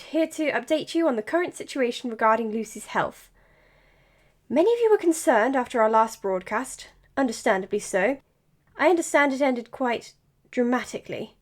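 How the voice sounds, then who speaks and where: Actress as awkward woman doctor, higher voice, formal, hesitant, earnest, audio drama podcast monologue. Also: lightly acted. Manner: hesitant, formal, self-conscious.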